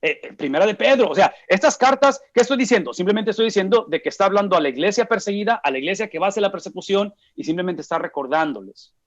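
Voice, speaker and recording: A man's voice: high voice, expressive, fast, few pauses. A man in his forties with a Mexican accent, teaching a Bible study in an emphatic way and answering his own rhetorical questions. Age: 40s. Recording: dull lo-fi recording.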